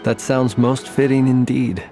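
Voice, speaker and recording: An actor playing a courteous, composed gentleman in a video game, speaking politely and precisely with stately formality. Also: medium pitch, little pitch movement, measured pace, music in the background, natural voice. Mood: approving. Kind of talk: video game cutscene voice acting.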